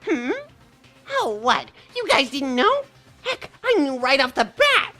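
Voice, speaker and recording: A woman's voice: high voice, sing-song, takes it slowly, sharp tone, faint music behind, heavily acted. A woman voicing a gleeful, mischievous mascot in an anime game fan dub, theatrical and mock-polite. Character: gleeful mischievous mascot running a deadly trial.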